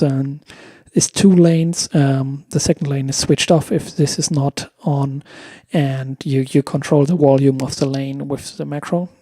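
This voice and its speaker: Bright-voiced man casually explaining software. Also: mid-range voice, dry recording, clean studio sound. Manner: hesitant, searching for words. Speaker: host of a music production tutorial. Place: room at home. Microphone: close desk microphone with headphones.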